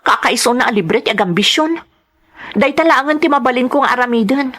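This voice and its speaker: A woman's voice: high voice, a little fast, fairly bright tone. An actress playing an ambitious, discontented young wife and mother in a radio drama, complaining and insistent. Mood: frustrated, complaining.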